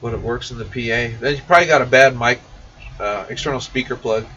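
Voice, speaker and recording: A man's voice: medium pitch, quick pace, bright, forceful tone, a little hiss or hum. Man chatting on livestream, distant muffled mic. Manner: folksy, informal, addressing viewers as guys and man. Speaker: CB radio shop owner hosting a livestream. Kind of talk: casual livestream chat, reading and answering viewer comments.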